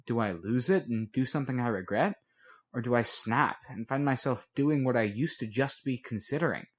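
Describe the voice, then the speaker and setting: A man voicing a moody, self-doubting teenage girl who confides in a halting, slangy way. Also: medium pitch, close to an everyday voice. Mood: troubled, confessional.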